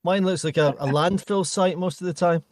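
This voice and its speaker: A man in his forties with a Glaswegian accent softened by years in England, hosting a casual podcast chat with self-deprecating, rambling anecdotes. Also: high-pitched, fairly even intonation, a little fast, no room sound, clean studio sound. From Scotland. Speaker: podcast host.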